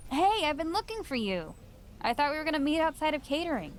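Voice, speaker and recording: A woman's voice: high voice, very animated, fast, slight background noise. A woman playing an exasperated, sarcastic wrestling promoter in a scripted video game cutscene.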